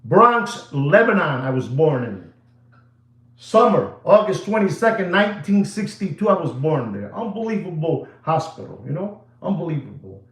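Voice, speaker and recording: A man's voice: fairly high pitch, moderate pace. A man, ranting casually to viewers in a rambling way and slipping into Spanish phrases. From New York, USA. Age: 60s. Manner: animated and rambling, mixes in Spanish phrases, addresses viewers as "papi". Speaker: watch dealer, show host. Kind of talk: casual rant to camera. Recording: echoey room.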